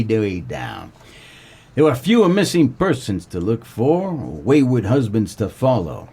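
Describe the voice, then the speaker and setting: An actor playing a wry, world-weary 1940s private eye, narrating a comedic noir radio play. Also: male voice, fairly low pitch, slightly rough, full character voice. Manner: wry, deadpan, colloquial.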